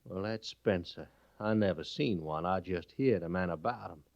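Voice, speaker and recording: A bitter Southern cavalry trooper, once a prisoner. His low voice drawls with defiance and resentment. It is radio Western acting on a muffled vintage recording. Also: normal speed, vocal fry. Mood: bitter, resentful.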